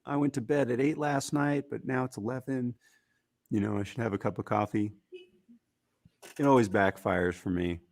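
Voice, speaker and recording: A man with a raspy, gritty voice, talking casually as a musician guest in an interview, rambling with fillers. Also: mid-range voice, normal speed, long pauses, no room sound. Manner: relaxed, rambling with fillers and restarts.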